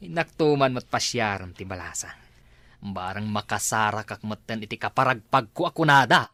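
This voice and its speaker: A man's voice: lowish voice, lively intonation, normal speed, bright, forceful tone, no room sound. A man with an Ilocano accent, playing a nosy neighbor in a comedy drama, chuckling as he talks.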